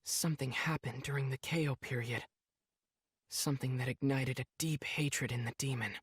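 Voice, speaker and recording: A man's voice: medium pitch, fairly even intonation, normal speed, breathy edge, dry recording. An actor playing an earnest, compassionate teenage boy swordsman who narrates his memories reflectively in a video game. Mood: sorrowful, compassionate. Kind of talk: scripted narration recounting memories.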